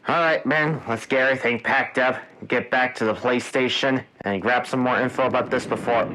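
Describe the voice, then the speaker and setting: A man's voice: mid-range voice, a little fast, noisy background, natural voice. A man playing a police officer making a statement in an amateur analog-horror emergency broadcast. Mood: businesslike. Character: male police officer giving grave warnings, panicking under attack.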